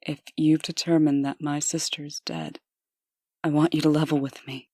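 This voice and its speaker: Casting: actress as dignified woman in authority, low register, earnest and firm, for comic drama. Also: level tone, moderate pace, a little mellow, no echo, clean studio sound. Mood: composed, resolute. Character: proud, composed woman official, anxious for her sister. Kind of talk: comic dub dramatic acting.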